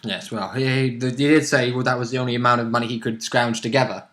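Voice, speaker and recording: A man chatting casually as a player about a tabletop role-playing game, retelling it in halting bursts with quoted lines. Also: medium pitch, steady tone, fairly bright tone. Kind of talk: casual chat. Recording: echoey room sound.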